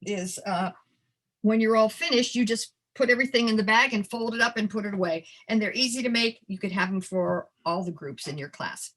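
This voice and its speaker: A woman presenting a storytelling workshop in an animated, theatrical and encouraging conversational manner. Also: mid-range voice, brisk. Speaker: storytelling presenter and early literacy educator. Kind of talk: workshop demonstration with storytime performance.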